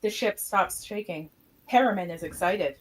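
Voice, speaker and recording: A woman's voice: mid-range voice, moderate pace, low-quality recording. A woman reading scripted stage directions as the narrator of an amateur radio play.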